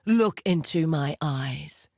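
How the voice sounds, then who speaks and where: A woman playing a commanding, coldly seductive necromancer villainess in a video game, speaking in a grandiose, deliberate, menacing way. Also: low-pitched, expressive, darkish tone, no room sound, clean studio sound, full character voice. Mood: cold judgment.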